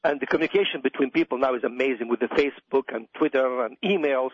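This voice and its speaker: A man's voice: mid-range voice, quick pace, breathy edge, dry recording. Radio interview guest: emphatic middle-aged man, Iraqi accent, doctor, repeats himself for stress, dry and slightly muffled sound. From Iraq. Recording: dull, dry radio audio.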